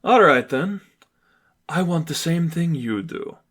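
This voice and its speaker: A man acts out a male villain leader in a superhero story. He pitches the voice up, smooth and smug, giving orders with easy confidence. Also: expressive, takes it slowly, fairly bright tone, heavily acted. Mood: smug persuasion.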